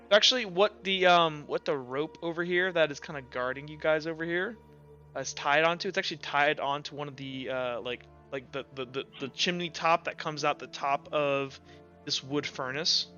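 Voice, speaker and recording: A man with a bright, slightly high voice improvises as game master. He rambles and halts, thinking aloud through the scene, on a dull lo-fi recording with music underneath. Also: normal speed. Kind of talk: improvised narration of a tabletop roleplaying game.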